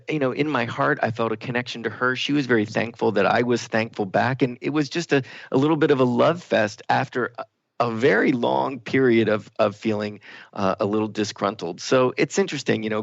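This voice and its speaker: A man with a heavily gravelly, creaky voice hosts a spiritual talk radio discussion. He speaks reflectively, often feeling for the next word. The sound is dry and somewhat dull, like lo-fi radio audio. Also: medium pitch, moderate pace, no echo. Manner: reflective, hesitant, searching for words. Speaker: radio show host.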